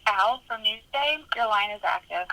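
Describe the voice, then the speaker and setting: A woman's voice: mid-range voice, animated, moderate pace, gravelly, pushed and bright. A woman acting as a conference call operator, giving short scripted announcements over a telephone line.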